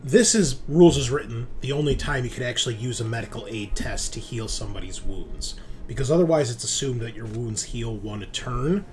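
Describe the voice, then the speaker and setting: A man running a tabletop roleplaying game, narrating and explaining rules in a halting, think-aloud way. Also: mid-range voice, expressive, faint music behind. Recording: clear background noise.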